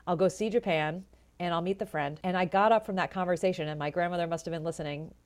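Low-voiced woman telling lively stories. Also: fast talker. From Florida, USA. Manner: animated, chatty storyteller with lively asides. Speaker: jazz vocalist and educator, guest. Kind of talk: conversational interview storytelling.

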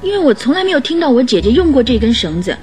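A high-voiced, earnest young lady. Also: very animated, very fast, darkish tone, faint music behind. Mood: hesitant, earnest. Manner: polite, careful, hesitant answers. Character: polite, earnest young lady, troubled client. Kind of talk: radio drama acting.

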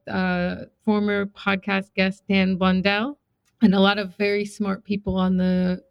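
A woman co-hosts a casual podcast from her home, speaking in a brisk, teasing way with dry humor. Her voice sits close to the mic in a dead, dry room. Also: medium pitch, gravelly, smooth, dry recording, lo-fi sound. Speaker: podcast co-host.

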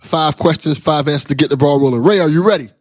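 A man's voice: on the high side, fast talker, no room sound, studio quality. A man hosting a podcast, chatting casually with affectionate banter. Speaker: podcast host. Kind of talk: casual interview chat.

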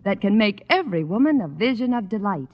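A woman's voice: medium pitch, big pitch swings, quick pace. A woman reading 1940s radio advertising copy with a gushing, alluring sales delivery. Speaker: commercial spokeswoman. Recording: echoey, muffled old radio recording.

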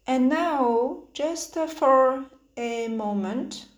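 Slow, high-voiced woman guiding meditation. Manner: hesitant, halting phrases with long pauses. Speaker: guided meditation instructor. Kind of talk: guided meditation, gentle spoken instructions. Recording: roomy, echoing sound.

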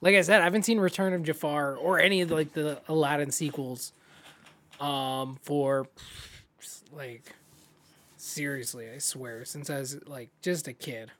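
High-voiced animated man chatting. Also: measured pace. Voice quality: fairly high voice. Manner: animated, many fillers, jumps up in pitch when excited. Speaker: podcast co-host. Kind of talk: casual chat about films.

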